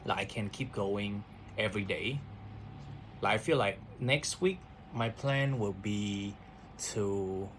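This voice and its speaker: Brief: lowish-voiced man, halting vlog chat, faint hiss behind. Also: creaky. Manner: hesitant, repeats words, many fillers. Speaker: vlogger. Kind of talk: casual spoken diary.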